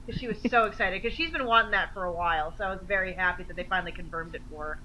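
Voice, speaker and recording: A woman's voice: lowish voice, racing, pushed and bright, a little hiss or hum, low-quality recording. A woman co-hosting a podcast in a casual chat, with folksy, emphatic interjections. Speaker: podcast co-host.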